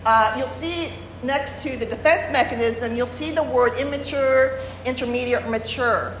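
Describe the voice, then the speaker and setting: A woman leads a workshop, explaining carefully, pausing on fillers and restarting her sentences. Her voice sits a little high. She speaks without a microphone in a large room with a long echo. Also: a bit bright, a little hiss or hum. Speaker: workshop presenter. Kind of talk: workshop lecture. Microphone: no microphone, room recording. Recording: roomy hall, unamplified voice.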